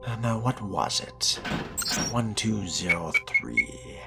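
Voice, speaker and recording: A man acts out a haughty vampire fledgling from a role-playing game, musing with dry, pompous disdain. The voice sits very low with a gravelly fry and unhurried delivery, swinging expressively over prominent music. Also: big pitch swings, exaggerated voice. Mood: sardonic, musing. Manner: pompous, dryly mocking, formal wording. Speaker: player role-playing a video game character. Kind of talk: in-character gameplay monologue.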